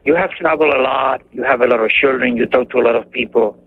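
An older man from Cuba with a Cuban accent, calling in to a radio show and talking haltingly, searching for words. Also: mid-range voice. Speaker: caller. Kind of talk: call-in radio chat. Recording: tinny phone line with faint noise.

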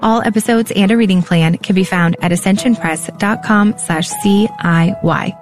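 A woman's voice: mid-range voice, normal speed. A woman with a mid-range voice reads scripted announcements for a podcast. Music runs behind her as she delivers the outro narration. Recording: music bed under the voice.

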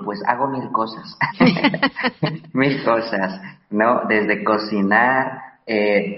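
A man, a missionary priest, telling his story in an interview, reflective and unhurried with frequent pauses. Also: on the low side. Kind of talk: testimony in an interview. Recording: roomy, dull sound.

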